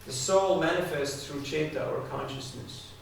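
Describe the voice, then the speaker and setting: A man with a mid-pitched, gravelly voice delivers a devotional talk, turning often to his listeners with direct questions. He is recorded from a distance in a large, very reverberant hall. A faint hiss sits under the recording. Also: normal speed. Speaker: spiritual speaker. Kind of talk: devotional talk to a live audience. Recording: echoey hall, distant mic, faint noise.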